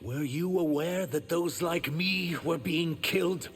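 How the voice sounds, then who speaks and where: An actor playing an earnest, wounded young reptilian shapeshifter warrior in a video game, speaking with guarded defiance. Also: male voice, on the high side, measured pace, lightly acted. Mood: earnest, reproachful. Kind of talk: video game fight dialogue.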